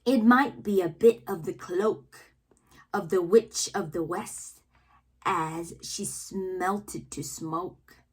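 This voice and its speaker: A woman reading poems aloud for children in a theatrical way, drawing out words and pausing between phrases. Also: fairly low pitch, slightly rough. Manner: theatrical, drawn-out words with pauses. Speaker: read-aloud host, children's reader. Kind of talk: expressive poetry read-aloud for children.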